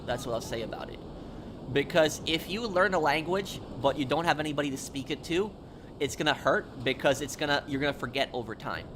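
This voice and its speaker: A man chatting casually on a gaming livestream, answering viewers in a halting, repetitive, emphatic explanatory way. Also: a little high, background noise.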